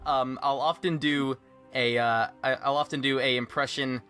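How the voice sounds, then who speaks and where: Jokey guy hosting a podcast, medium pitch, chatting casually, bit of music behind. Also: steady tone, moderate pace, sharp tone, clear, resonant tone. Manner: jokey, laughs through his talk. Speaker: podcast host. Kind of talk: casual chat.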